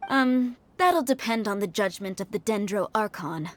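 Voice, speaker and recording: A woman playing a calm, resolute young traveller in a video game, speaking in a measured way. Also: medium pitch, soft background music, close to an everyday voice. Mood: composed, questioning. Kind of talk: scripted video game voice acting.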